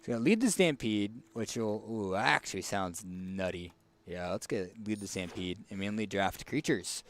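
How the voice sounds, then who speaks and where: Raspy man thinking aloud over a game. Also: fairly low pitch, animated, normal speed. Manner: thinks aloud, hesitant fillers and restarts. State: hoarse, tickly throat. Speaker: streamer. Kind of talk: casual gameplay commentary.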